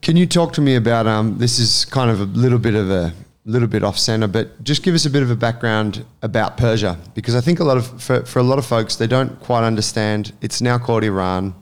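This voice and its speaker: A man's voice: medium pitch, a little fast, few pauses. A man interviewing a guest, asking a curious question in a casual, hedging way.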